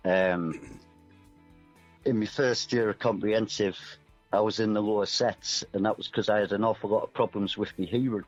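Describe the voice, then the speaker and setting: A man's voice: lowish voice, fairly even intonation, moderate pace, muffled recording. A middle-aged man chatting casually and reflectively as a musician guest in a radio interview. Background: music playing underneath.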